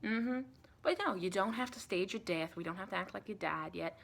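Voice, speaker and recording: A woman playing a friendly, folksy female vampire in a soft-spoken ASMR roleplay, chatty and reassuring in a rambling, colloquial way. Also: mid-range voice, normal speed, vocal fry. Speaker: ASMR performer.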